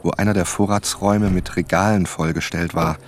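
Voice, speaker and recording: An actor playing an earnest writer who narrates an investigation in a radio drama. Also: male voice, sits low, fairly even intonation, fairly quick, slight background noise, close to an everyday voice. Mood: measured. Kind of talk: scripted first-person radio drama narration.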